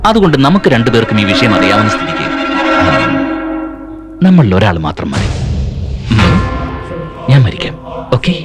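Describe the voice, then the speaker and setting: An actor dubbing a ruthless crime boss who speaks with calm menace to his men. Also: on the low side, normal speed, pauses often. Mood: menacing. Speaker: gang boss. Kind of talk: dubbed film acting. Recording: film soundtrack with loud music.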